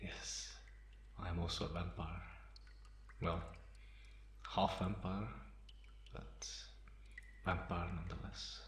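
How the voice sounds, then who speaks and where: A man playing a calm, teasing half-vampire in an ASMR roleplay, pausing often and sounding softly amused and restrained. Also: sits low, heavily acted. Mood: wry, teasing. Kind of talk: slow ASMR roleplay monologue. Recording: roomy echo, faint background noise.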